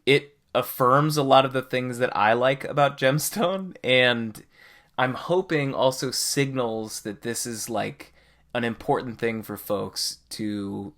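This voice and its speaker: A man's voice: mid-range voice, a bit bright. A man co-hosting a podcast, chatting casually and earnestly about a game. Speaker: podcast co-host.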